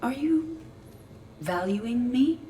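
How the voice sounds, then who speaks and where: An actress playing a curious, literal ship's artificial intelligence in a video game, speaking precisely and formally with a deadpan delivery. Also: female voice, a little high, slow pace, slight background noise. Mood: formal, earnest. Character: female ship artificial intelligence in android body, curious, literal, earnest. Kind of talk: video game dialogue acting.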